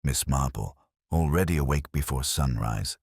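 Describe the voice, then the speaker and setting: A man narrating a scripted mystery story in a measured, formal storytelling manner. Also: rumbling low, darkish tone, slightly rough, no room sound, clean studio sound. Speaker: audiobook narrator.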